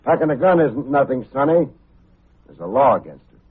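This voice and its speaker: An actor playing a cool, wry, hard-boiled private investigator who talks and narrates in old-time radio drama style. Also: male voice, mid-range voice, very animated, breathy edge. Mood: firm, pressing. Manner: wry, unhurried, wisecracking. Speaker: actor playing the lead detective, also narrating. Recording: muffled narrow-band old radio recording, faint music.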